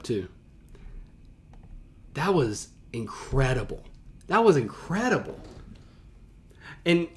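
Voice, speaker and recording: A man giving casual, enthusiastic reaction commentary as a streamer, speaking in informal slang and often repeating himself. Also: medium pitch. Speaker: teacher and streamer hosting a reaction live stream.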